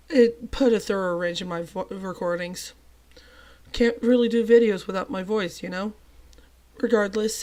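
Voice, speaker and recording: Young storyteller with a cold-worn voice. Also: medium pitch. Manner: chatty and self-deprecating, with lively emphatic bursts. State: recovering from a cold, voice a little off. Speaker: channel host telling a personal story. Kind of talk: storytime narration.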